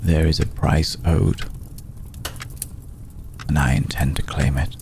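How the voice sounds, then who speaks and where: A man playing an ancient, aristocratic vampire in an ASMR roleplay, formal, hushed and gravelly, protective and vengeful, with deliberate pauses. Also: a bass voice, steady tone, slight background noise, heavily acted. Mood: cold, vengeful. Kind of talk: ASMR roleplay, slow intimate monologue.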